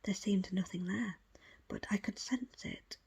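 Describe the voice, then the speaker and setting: Breathy whispering woman reading aloud. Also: medium pitch. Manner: hushed, measured storytelling. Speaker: audiobook reader. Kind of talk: ASMR whispered book reading of a first-person account.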